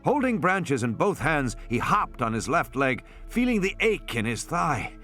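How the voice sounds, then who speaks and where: A man narrating a suspense novel as an audiobook, in an expressive, dramatic delivery. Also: on the high side, a little slow. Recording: added music and sound effects underneath. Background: music and sound effects.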